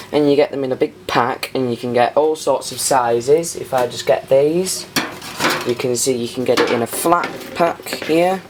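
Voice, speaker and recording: A British man with a medium-pitched voice presents informally, like a hobbyist showing off his gear. He speaks haltingly, thinking aloud as he goes. The home camera recording has clear background noise and clicks. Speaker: hobbyist presenter showing restored vintage tape machines. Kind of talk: informal hands-on show-and-tell.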